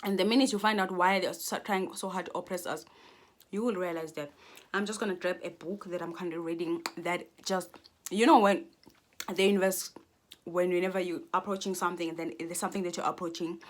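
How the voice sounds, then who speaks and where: A woman's voice: on the low side, steady tone, a little fast. A Xhosa-speaking South African woman in her twenties, talking to camera in a rambling, earnest monologue full of restarts. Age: 20s. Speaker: vlogger.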